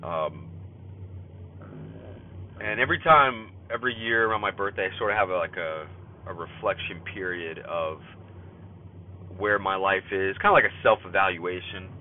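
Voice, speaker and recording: A man's voice: low voice, normal speed, pauses often. A man in his thirties with a stuffy cold, hosting a podcast and talking through a casual spoken journal in a rambling way, full of fillers and restarts, while he drives. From California. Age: 30s. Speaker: podcast host. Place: in a car while driving. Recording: phone-like line, faint car noise. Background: car road noise.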